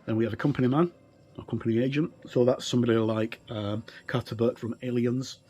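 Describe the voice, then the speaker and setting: A middle-aged man giving an unscripted walkthrough commentary as a game reviewer, in a halting, hesitant way with trailing phrases. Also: mid-range voice, fairly even intonation, warm tone, faint music behind.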